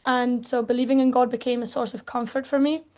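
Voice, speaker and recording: A young woman student raised in Spain and England, speaking softly and reflectively as she gives a personal faith testimony. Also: on the high side, level tone, fairly quick. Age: young adult.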